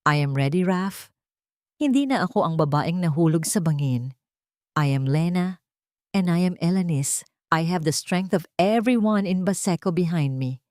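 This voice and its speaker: A woman voicing a proud, wronged heiress in an audio story, cold, sharp and resolute. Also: fairly low pitch, animated, normal speed, dry recording, clean studio sound, lightly acted.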